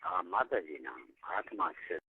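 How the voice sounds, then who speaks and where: A man's voice: low-pitched, lively intonation, a little slow, slight background noise. A man chatting casually and privately on a phone call. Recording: muffled tinny phone call recording.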